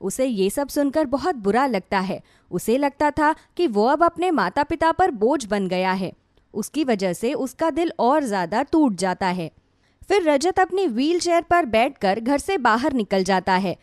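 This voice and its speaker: A woman storyteller with a high, very lively voice, reading a scripted dramatic tale. The sound is dry and close, with pitch that moves freely through each sentence. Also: a little slow, dry recording. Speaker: storyteller narrator.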